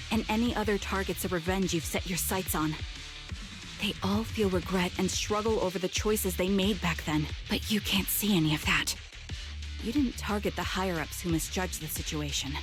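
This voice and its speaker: A woman playing a resolute young military sniper in a video game, speaking in a solemn, measured, earnest way. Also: lowish voice, natural voice.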